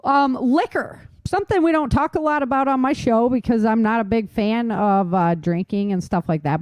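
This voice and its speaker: Female podcast host, medium pitch, informal and emphatic, easy laugher, very dry sound. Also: a little mellow, dry recording. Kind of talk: casual survival-tips discussion.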